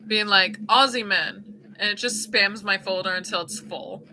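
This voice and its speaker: A woman's voice: mid-range voice, moderate pace, noisy background. A woman streamer chatting casually and teasing her friend while playing a game. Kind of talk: casual chat while gaming.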